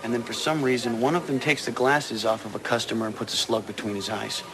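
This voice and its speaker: A man's voice: mid-range voice, flat delivery, quick pace, over music, lightly acted, poor audio. An actor playing a direct, probing male police detective in an audio drama.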